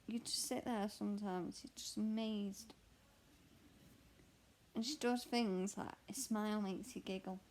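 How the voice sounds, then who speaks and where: A young woman with a croaky voice, chatting candidly and rambling while she stitches at home. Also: medium pitch, steady tone, measured pace, pauses often, darkish tone, a little hiss or hum. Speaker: cross stitch vlogger and mother. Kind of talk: casual chat answering a question tag while stitching.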